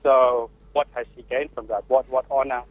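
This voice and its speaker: A man's voice: medium pitch, level tone, normal speed, no room sound. A man with a Zimbabwean accent calls in to a radio panel, hesitating and restarting often. His voice comes over a thin, phone-like line with no room sound. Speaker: panelist, father. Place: remote call from the UK.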